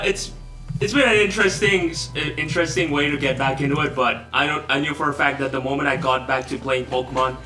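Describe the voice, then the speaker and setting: A man's voice: medium pitch, pushed and bright. A young man from Bangladesh, a guest in a casual online interview, joking and self-deprecating as he rambles. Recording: roomy echo, distant from mic.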